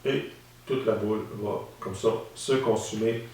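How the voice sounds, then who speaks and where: Male instructor, calm and explanatory with hesitant pauses; distant mic in a very reverberant room. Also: fairly low pitch. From Saskatchewan, Canada. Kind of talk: instructional demonstration.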